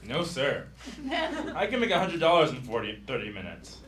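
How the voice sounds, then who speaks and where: Guy doing rude mocking cab driver, pitch all over, live comedy read. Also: big pitch swings, moderate pace, fairly bright tone. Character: brusque, mocking New York cab driver. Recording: off-mic live theater with audience.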